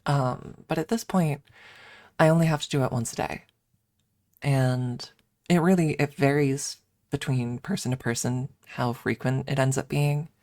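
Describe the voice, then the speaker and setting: A woman's voice: extremely low pitch, fairly even intonation, measured pace, darkish tone, dry recording. A woman streamer chatting casually on an ASMR stream, rambling and candid as she explains things, close to the microphone. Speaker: livestream host and ASMR streamer.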